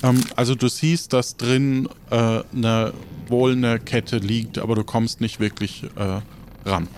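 A man's voice: mid-range voice, steady tone, slow, darkish tone, a little hiss or hum. A man narrating an improvised audio adventure game as game master, conversational and feeling his way through the story.

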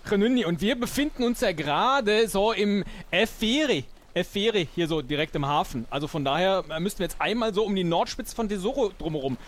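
A man's voice: sits high, animated, brisk, hardly any pauses. A man improvising in an audio-play role-play, thinking aloud and often repeating his words. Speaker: guest player.

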